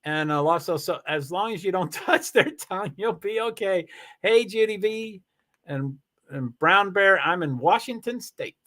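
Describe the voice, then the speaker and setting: A man streaming live from home, chatting casually and rambling excitedly with lots of exclamations and restarts. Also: sits high, unhurried. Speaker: live streamer reading chat. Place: home in Washington State.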